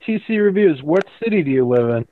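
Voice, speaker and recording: A man's voice: a little high, big pitch swings, a little fast, a little hiss or hum. Man joking over tinny audio. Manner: joking, profane banter. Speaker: livestream host. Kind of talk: casual group chat on a livestream.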